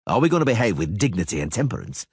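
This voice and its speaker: A weary monster hunter, read by a man in an audiobook, speaks in a deep, grainy voice with curt, dry sarcasm. His lines are short and stern, but the pitch still moves a fair amount. The recording is close and dry. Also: very animated, very fast, a little mellow, breathy edge, no echo, exaggerated voice. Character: gruff, weary grown male monster hunter. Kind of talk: audiobook character voice.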